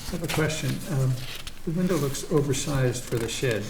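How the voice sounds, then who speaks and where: A man on a town commission asking deliberate, probing questions at a public meeting in a roomy hall. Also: mid-range voice, background noise. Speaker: commission member.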